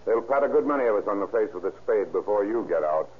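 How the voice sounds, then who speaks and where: A man's voice: fairly low pitch, lively intonation, quick pace, lightly acted. A man playing a cool, wry police detective in a 1930s radio crime drama. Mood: coolly amused. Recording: tinny, band-limited old radio recording.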